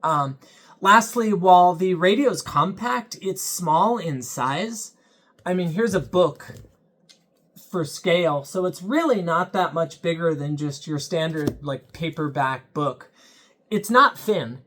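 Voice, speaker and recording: A product reviewer talking through a handheld gadget in a measured, explanatory way, pausing now and then to think. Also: mid-range voice, lively intonation, fairly bright tone, slight background noise.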